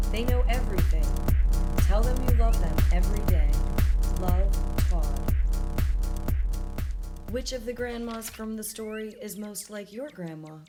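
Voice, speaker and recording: A woman hosting a children's storytime, reading a picture book aloud in simple narrated lines. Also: medium pitch. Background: music.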